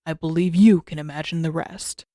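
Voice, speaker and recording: A stern old man played by a woman reader serves as a spirit mentor in an audiobook tale. The voice is lowered and grave, with formal, measured phrasing. The recording is close and dry. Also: fairly even intonation, warm tone, no room sound, lightly acted. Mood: grave warning. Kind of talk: fan fiction audiobook character voice.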